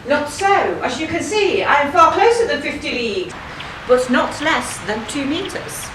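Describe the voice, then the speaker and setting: A woman's voice: high voice, animated, moderate pace. A woman acting a bold, cheeky outlaw hero, declaiming in pantomime style. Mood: cocky. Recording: very echoey hall, distant mic, faint noise.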